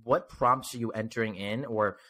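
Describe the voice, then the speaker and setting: A man's voice: lowish voice, steady tone, moderate pace, sharp tone. A man in his twenties hosting a casual interview, asking earnest, wordy questions. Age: 20s. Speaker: podcast host. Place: small room, indoors. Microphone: close desktop microphone, video call.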